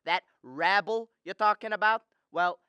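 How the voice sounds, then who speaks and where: An idealistic young man played by a student actor in a radio play, speaking with defiant conviction. The voice is bright, clear and recorded dry. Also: medium pitch, measured pace, dry recording, highs cut off. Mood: indignant. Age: young adult. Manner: impassioned, defiant. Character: earnest, principled, outspoken young man. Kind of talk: radio drama acting.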